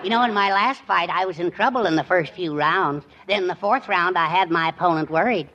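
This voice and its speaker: Bright-voiced, deadpan scrawny boxer. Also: male voice, high voice, fairly quick, dull audio. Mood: deadpan boasting. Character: scrawny, hapless male middleweight boxing champ. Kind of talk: 1940s radio comedy acting.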